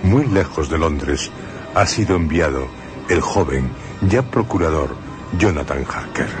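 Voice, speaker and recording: A man presenting a radio drama with scripted narration and measured, dramatic pauses. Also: extremely low pitch, expressive. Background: music.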